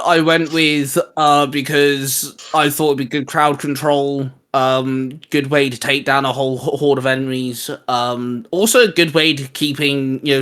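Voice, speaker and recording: A man co-hosting a casual geek chat about comics, speaking in a halting, self-interrupting way. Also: medium pitch, fairly bright tone. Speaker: co-host of a geek discussion show.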